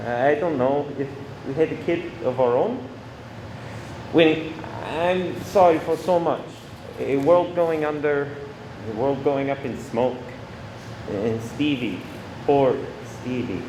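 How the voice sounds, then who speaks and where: A man playing an anxious, defensive husband in a radio play, pleading and halting. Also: fairly high pitch, lively intonation, a little mellow. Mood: remorseful, weary. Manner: pleading, halting, self-justifying. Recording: echoey room with faint noise.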